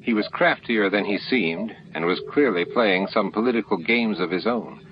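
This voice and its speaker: A man's voice: lowish voice, expressive, normal speed, highs cut off, noisy background. A man reading scripted narration from a science fiction novel as an audiobook narrator. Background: music and added sound effects.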